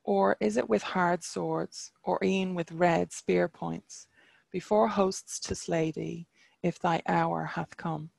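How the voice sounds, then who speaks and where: A reader giving voice to a defiant young warrior hero from old legend, declaiming archaic verse with a deeply gravelly, creaky edge. The delivery is slow and measured, and the sound is close and dry with no room around it. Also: steady tone, a little mellow, dry recording, heavily acted. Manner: archaic verse read aloud, chant-like.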